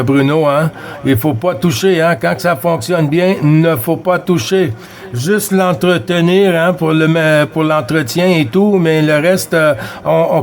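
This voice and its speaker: A man with a Quebec French accent and a fairly high voice works as a CB radio operator. He chats casually and amiably, often repeating his phrases and tagging them with little check-in words. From Quebec, Canada. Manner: friendly, repeats himself, tags phrases with "hein". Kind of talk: casual two-way radio chat.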